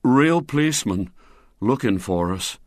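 A man's voice: mid-range voice, animated, unhurried, no echo, studio quality, natural voice. A man voicing an awed schoolboy who speaks in a wide-eyed, dramatic way.

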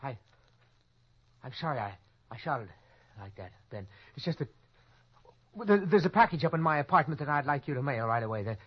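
A man's voice: on the high side, expressive, racing, hardly any pauses. An actor playing a panicked young man who narrates his own desperate cover-up in old-time radio suspense style, tense and stammering when pressed. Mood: nervous pleading. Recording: dull old radio recording, loud music.

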